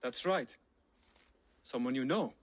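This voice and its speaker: A scholarly young assassin for a video game. His voice is medium in pitch. He speaks with courteous, formal care and a deliberate delivery. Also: no echo. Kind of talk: video game dramatic acting.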